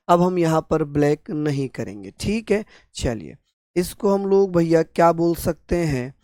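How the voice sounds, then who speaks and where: Hoarse male teacher drilling terms. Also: on the high side, dry recording. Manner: repeats terms, asks and answers own questions. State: hoarse, sore throat. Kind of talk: online exam-prep lecture.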